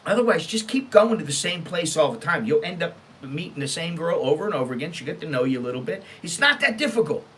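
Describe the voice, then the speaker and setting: American man, slightly high voice, very animated intonation, blunt, exasperated, sweary, casual storytime host. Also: fast, few pauses, slight background noise. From United States. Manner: emphatic, exasperated. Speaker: online storytime show host. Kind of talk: casual rant, reading out and commenting on emails.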